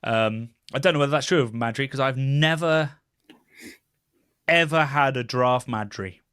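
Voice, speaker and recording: A man's voice: mid-range voice, moderate pace, fairly bright tone, smooth, no room sound, clean studio sound. A British man hosting a casual podcast, musing and rambling in a wry way. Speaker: podcast host, beer YouTuber.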